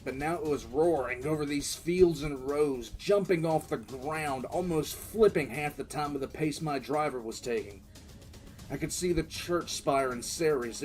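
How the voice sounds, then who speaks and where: A man's voice: medium pitch, a little mellow, lightly acted. A man playing a tense, frustrated military commissar telling his war story as dramatic first-person narration in an audio drama. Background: background music.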